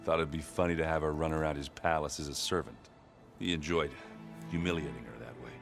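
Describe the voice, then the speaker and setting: An actor playing a hearty, boisterous warrior who tells stories in a folksy, bashful, chuckling way in a video game. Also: male voice, extremely low pitch, fairly even intonation, brisk, creaky, soft background music. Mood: relaxed, wry. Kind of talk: video game cutscene acting.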